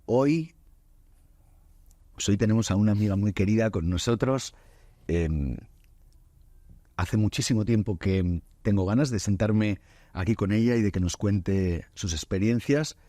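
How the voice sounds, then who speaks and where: A man from Spain hosts a podcast conversation, his voice low and soft-edged. He speaks in a relaxed, halting way, full of fillers and restarts. The studio sound is dry and close. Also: dry recording. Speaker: podcast host. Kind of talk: casual interview chat.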